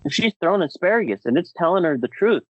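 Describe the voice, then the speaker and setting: An enthusiastic male podcast host with a slightly high voice that swings up and down a lot. He chats casually and leans on repeated words for emphasis. The sound is close and a little dull. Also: sing-song, quick pace, no echo.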